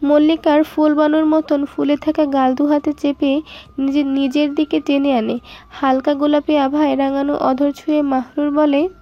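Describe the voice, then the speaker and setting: A woman's voice: high voice, little pitch movement, normal speed, lo-fi sound, faint music behind. A woman narrating a scripted romantic story.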